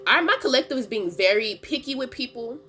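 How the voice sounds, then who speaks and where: A woman's voice: on the high side, animated, fairly quick, pushed and bright, faint music behind. A woman with a stuffy nose from a cold, chatting casually in as she gives a tarot reading, slangy and laughing often. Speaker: tarot reader and channel host.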